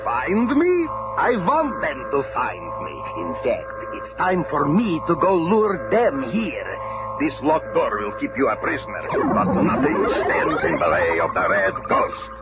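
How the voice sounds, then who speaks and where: An actor playing a pompous, gloating mad-scientist villain in a 1970s superhero radio drama. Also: male voice, a little high, huge pitch swings, unhurried, poor audio. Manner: pompous, menacing. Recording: loud music bed, old radio show.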